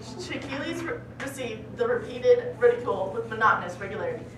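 A woman's voice: on the high side, lively intonation, a little fast. A young woman reading scripted skit narration as a student, recorded at a distance in a classroom. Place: classroom, indoors. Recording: roomy, noisy classroom from far off.

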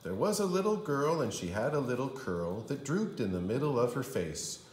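A man's voice: medium pitch, very animated, moderate pace, darkish tone, a little hiss or hum. A man reading a children's storybook aloud like a kindergarten teacher, with theatrical, sing-song delivery. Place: indoor room. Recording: roomy indoor echo.

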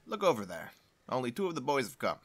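Guy playing a fretful excitable choir director in a radio play, very up and down voice. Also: medium pitch, fast. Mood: embarrassed, fretful. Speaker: actor in a drama. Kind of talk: audio drama acting.

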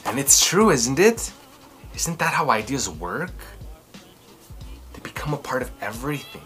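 A man in his thirties hosting a children's read-aloud, telling stories and chatting in a theatrical, emphatic way with drawn-out words. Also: mid-range voice, big pitch swings, music in the background. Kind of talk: expressive storytelling and chatty commentary for children. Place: small furnished room indoors.

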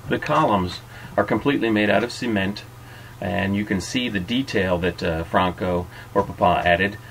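Low-voiced middle-aged man, noisy recording. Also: moderate pace, a bit bright. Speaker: host and narrator of a restaurant tour. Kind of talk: informal guided-tour narration and interviewing.

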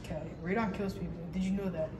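A man's voice: sits high, steady tone, quick pace, heavy background noise. A teenage male student doing casual interviews on a phone in school hallways. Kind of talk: casual street-style interview. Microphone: handheld phone. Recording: distant phone recording with loud chatter.

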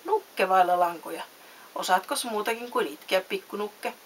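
A woman's voice: mid-range voice, distant mic, close to an everyday voice. A woman voicing a storybook villain, a domineering male puppet master with a taunting, commanding manner. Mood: mocking. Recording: faint background hiss.

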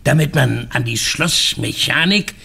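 Gravelly, husky safecracker. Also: male voice, mid-range voice, lively intonation, measured pace, sharp tone, heavily acted. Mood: boastful. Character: rough, boastful master safecracker, slow-talking. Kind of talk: German radio play acting.

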